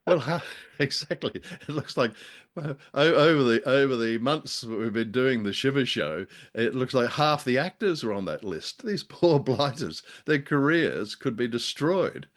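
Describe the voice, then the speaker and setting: A man co-hosting a radio show, chatting casually about old drama in a halting, wry, bookish way. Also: medium pitch, lively intonation.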